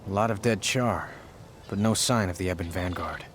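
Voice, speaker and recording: Man as a deep-voiced, steady adventurer. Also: moderate pace, noisy background, natural voice. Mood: grave, concerned. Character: calm, steady male adventurer, seeker of visions.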